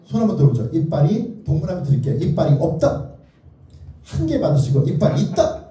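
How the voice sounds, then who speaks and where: A man's voice: on the high side, big pitch swings, fairly quick, dark tone. A man giving an interactive lecture, playfully and theatrically prompting his listeners with either-or questions. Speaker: children's author giving a lecture. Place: echoey indoor lecture room. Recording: very echoey hall, distant mic.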